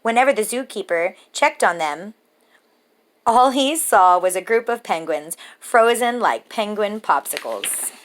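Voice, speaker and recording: A woman reads a picture book aloud for young children. She takes her time, letting each phrase land with an expressive, rhythmic lilt. Her voice sits at an ordinary medium pitch for a woman. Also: unhurried. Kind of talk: children's story read-aloud.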